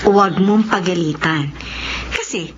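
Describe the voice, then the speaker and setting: An actress playing a caring, seasoned middle-aged overseas worker giving gentle counsel in a Filipino radio drama. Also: female voice, on the low side, a little slow, slight background noise, natural voice. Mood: concerned, soothing. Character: calm, caring older woman, seasoned overseas worker friend. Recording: muffled radio sound with music.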